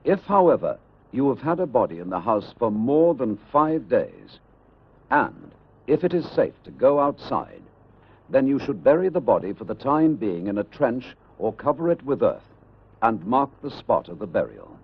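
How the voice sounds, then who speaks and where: On a dull archive film soundtrack, a man narrates official instructions gravely and formally. His husky voice moves through a lively range of pitch. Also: moderate pace. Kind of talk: scripted public information narration.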